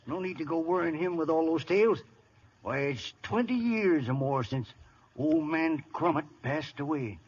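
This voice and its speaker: An old radio recording, muffled and hissy, carries a folksy old rural American husband. His voice is high and swings up and down as he rambles like a country storyteller. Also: expressive, normal speed, slightly rough. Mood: placating. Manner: folksy storytelling, hushing and chuckling. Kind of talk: 1930s-40s radio comedy-drama acting.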